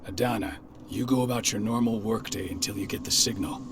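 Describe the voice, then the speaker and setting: An actor playing a grave, commanding rebel pack leader in a dramatic video game, speaking with measured authority. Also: male voice, lowish voice, steady tone, lightly acted.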